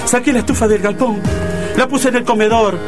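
An actor playing a stiff, grumbling old tango-loving husband in a comic radio play, with a Rioplatense accent and old-fashioned slang. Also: male voice, very high voice, fairly even intonation, fairly quick, music in the background. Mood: complaining. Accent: Argentine Rioplatense.

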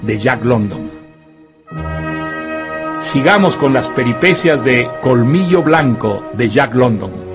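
A man's voice: mid-range voice, expressive, takes it slowly, pauses often, over music. A man presenting a radio novel program in a scripted announcer style.

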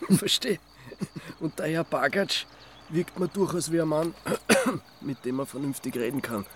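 An actor playing a grumpy, sceptical amateur sleuth in a radio drama, with an Austrian accent and a dry, sardonic way of talking. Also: male voice, fairly high pitch, unhurried, fairly bright tone, slight background noise. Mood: curious, dry. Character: grumpy, sceptical male city man, reluctant amateur sleuth.

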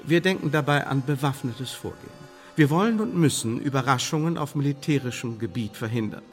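Actor as a suave, menacing spymaster in a reverberant hall. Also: male voice, mid-range voice, close to an everyday voice. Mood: formal, controlled. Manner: formal, measured, bureaucratic, condescending. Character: suave, coolly menacing English intelligence official, recruiting a spy. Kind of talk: radio play acting. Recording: studio radio play with long reverb.

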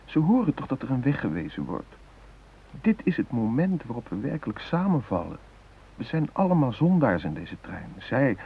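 A man acting an earnest, guilt-ridden humanist in a radio play, musing in deliberate, introspective phrases. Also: fairly low pitch, breathy edge, phone-quality sound, exaggerated voice. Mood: earnest, self-accusing. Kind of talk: radio drama monologue. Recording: hissy old radio play recording.

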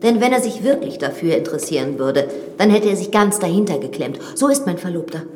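An actress playing a frank, self-assured young widowed lady in a radio drama, telling things in a measured, confiding way. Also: on the low side, very animated, a little mellow. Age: young adult. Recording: studio drama with reverb and music.

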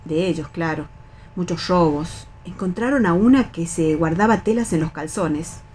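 A middle-aged Argentine actress playing a bossy, resigned, wary factory worker of nearly sixty in a radio theatre reading, instructing and admonishing colloquially. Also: female voice, lowish voice, moderate pace, a little mellow, slight background noise, close to an everyday voice. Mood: world-weary warning.

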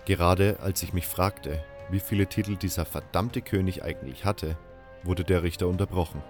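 A man with a low-pitched voice narrates a story in the first person. His delivery is that of dramatic audio storytelling, with music playing behind him. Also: fairly even intonation, moderate pace.

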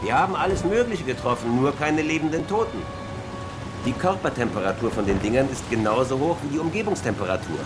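An actor playing a disillusioned, sardonic military officer in a horror radio drama, speaking bluntly. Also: male voice, mid-range voice, animated, a little fast, natural voice. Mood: blunt, bitter. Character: bitter, disillusioned male military officer, survivor of undead outbreak. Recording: loud music bed behind the voice.

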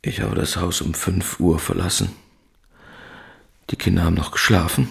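A man's voice: low voice, fairly even intonation, normal speed, vocal fry. An actor playing a guarded, weary middle-aged working-class father in a radio drama, speaking in a terse, reflective, subdued way. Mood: flat, weary. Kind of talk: German radio drama acting, subdued realism.

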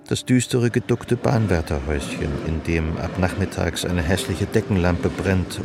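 A man acting as a detached, observant storyteller, narrating literary radio drama in a measured way. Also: sits low. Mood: wistful. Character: detached, observant male storyteller of a woman's life.

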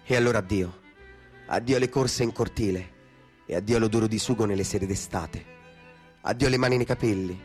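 Rough sardonic Roman storyteller, acted by a man. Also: mid-range voice, a little mellow, natural voice. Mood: solemn declaiming. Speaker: stage actor-narrator in a radio theatre piece. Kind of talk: theatrical literary storytelling.

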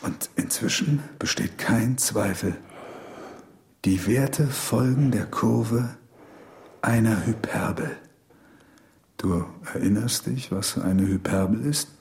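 Radio drama role: grave, pedantic older researcher, low gravelly voice, halting and didactic delivery. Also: male voice, darkish tone. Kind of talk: German radio drama acting, measured dialogue.

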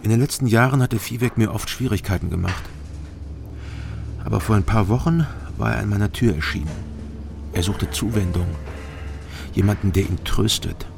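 Tired east german detective type, terse, guarded, creaky low voice, radio drama. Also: male voice, normal speed, pauses often, music in the background. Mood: bleak, reflective. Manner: terse, sober, world-weary. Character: weary, guarded East German ex-criminology student turned investigator. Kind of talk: radio crime drama acting and narration.

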